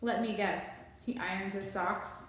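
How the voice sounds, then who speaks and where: A woman's voice: medium pitch, measured pace, slight background noise, close to an everyday voice. Woman as a pompous, dramatic young boy. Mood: scornful. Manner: pompous, deadpan grandiosity. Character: self-important, dramatic, scornful young boy detective. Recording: very echoey small bare room.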